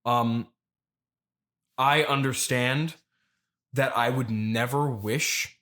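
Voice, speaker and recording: A man playing a grandiose, evasive immortal turnip farmer and grieving mystic in an improvised comedy interview, speaking haltingly and pompously. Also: mid-range voice, fairly even intonation, a bit bright. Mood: grandiose, mournful.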